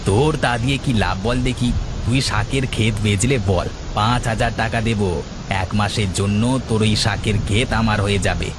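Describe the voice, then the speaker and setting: A man's voice: fairly low pitch, steady tone, brisk, background noise, close to an everyday voice. A man voicing a cunning, teasing village trader in a colloquial cartoon folk tale. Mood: scheming. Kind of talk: acted cartoon dialogue.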